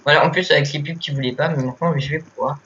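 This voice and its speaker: A young man who has a cold, joking around excitedly while playing an online game and stumbling over his words. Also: on the high side, very fast. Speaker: gamer and channel host. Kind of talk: casual gaming chat. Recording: slightly muffled home mic with faint hiss.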